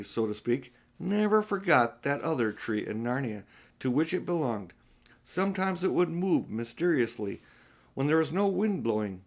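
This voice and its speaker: An older man narrating a children's storybook as an audiobook reader, occasionally stumbling and restarting a phrase. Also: mid-range voice. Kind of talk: storybook narration read aloud, with small slips and restarts.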